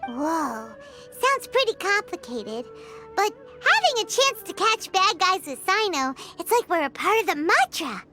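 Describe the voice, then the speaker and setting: A very high, squeaky little fairy companion, eager and plucky, swooping wildly from note to note. It is animated video game acting, with prominent music underneath. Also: female voice, unhurried, full character voice. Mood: excited, bold. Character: tiny excitable female fairy-like child companion, eager and brave.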